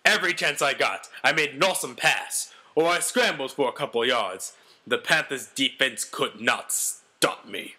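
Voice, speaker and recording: A man's voice: mid-range voice, moderate pace, sharp tone, slightly rough. A man voicing a brash, self-important ex-convict quarterback telling his own story with melodramatic, boastful delivery.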